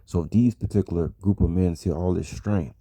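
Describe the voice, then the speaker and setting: A man's voice: deep voice, brisk, warm tone, no room sound, clean studio sound. An American man speaking in a casual personal monologue to his audience, rambling and repeating phrases for emphasis. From United States. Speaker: vlogger.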